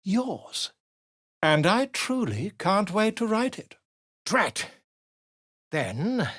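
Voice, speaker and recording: A man's voice: high voice, a bit bright, dry recording, studio quality, full character voice. An actor playing an eccentric, pompous male bard and scholar in a video game, speaking in flowery, declamatory phrases.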